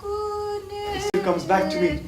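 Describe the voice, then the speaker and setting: A man's voice: very high-pitched, huge pitch swings, takes it slowly, full character voice. A man acting a sick, tormented addict confessing lost love in an impassioned, poetic stage-play style. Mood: fervent longing. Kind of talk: theatrical stage play dialogue. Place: theatre stage, recorded from afar. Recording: distant, echoey, noisy stage recording.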